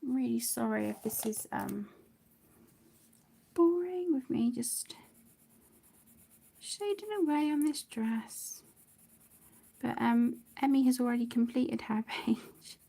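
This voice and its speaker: A middle-aged woman chatting casually on a livestream, affectionate and apologetic, with phrases that trail off softly. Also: a little high, slow, gravelly, pauses often, breathy edge. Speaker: livestream host of an adult colouring channel. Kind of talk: casual livestream chat while colouring.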